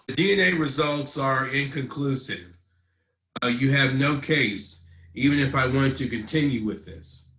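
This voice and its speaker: A male actor reads a jaded district attorney in a thriller script. His mid-range voice is dismissive and cautionary, and it carries a clear room echo. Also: medium pitch, measured pace, fairly bright tone, lightly acted. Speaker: actor in a web series table read. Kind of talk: scripted drama read aloud.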